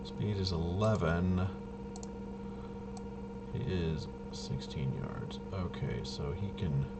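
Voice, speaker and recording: A fairly low-voiced man talks through a tabletop game at a slow pace. He is casual and halting, muttering to himself as he thinks aloud. There is clear noise behind his voice. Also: animated. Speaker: tabletop RPG player and presenter.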